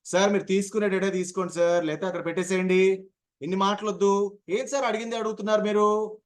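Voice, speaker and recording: A man's voice: high voice, little pitch movement, fast talker, natural voice. A man playing an irritated, impatient shopkeeper in a lesson example, answering curtly and with exasperation. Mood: exasperated, curt. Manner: curt, exasperated. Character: irritated male shopkeeper losing patience with customer.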